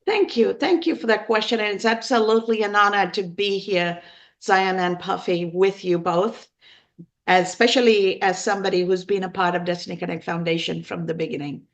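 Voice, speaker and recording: A woman in her fifties from Sri Lanka, telling her personal story as an interview guest in an earnest, emphatic, deliberate way. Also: medium pitch. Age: 50s. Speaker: podcast guest.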